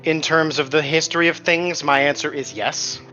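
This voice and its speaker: A man's voice: on the high side, fairly even intonation, normal speed. A man chatting casually in a group as a tabletop role-playing player. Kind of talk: casual group game chat. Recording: dull lo-fi sound, music behind. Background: background music.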